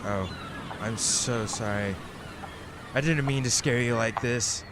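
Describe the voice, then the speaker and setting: A man's voice: mid-range voice, takes it slowly, very noisy. A man playing an unhinged, grieving villain who swings between menace and tender pleading in a melodramatic audio drama. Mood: pleading, sorrowful. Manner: melodramatic, intense.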